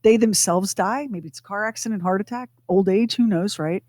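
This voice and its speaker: A woman co-hosting a radio show, talking casually and explaining things in emphatic, deliberate phrases. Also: mid-range voice, darkish tone, dry recording, clean studio sound. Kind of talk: casual conversational podcast talk.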